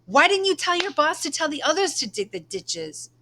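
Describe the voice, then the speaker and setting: Woman voicing a proud, higher-pitched teenage girl. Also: big pitch swings, moderate pace, bright, forceful tone, slight background noise, heavily acted. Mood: indignant. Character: proud, teasing, hot-tempered teenage girl. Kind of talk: children's book read-aloud.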